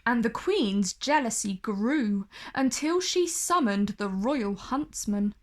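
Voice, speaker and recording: A woman storyteller with a fairly high voice reads a children's tale. Her delivery is very slow, deliberate and expressive. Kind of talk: scripted story read-aloud for children.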